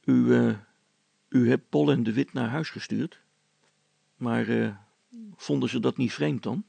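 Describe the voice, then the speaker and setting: Man voicing a hesitant, husky, deferential accomplice. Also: medium pitch, unhurried, dark tone, no echo. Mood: hesitant, doubtful. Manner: hesitant, polite, mildly objecting.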